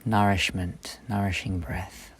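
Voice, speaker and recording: A man leading a guided meditation, speaking slowly and gently in short, soft phrases with long pauses. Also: low voice, little pitch movement, slight background noise. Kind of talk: guided meditation, instructional and soothing.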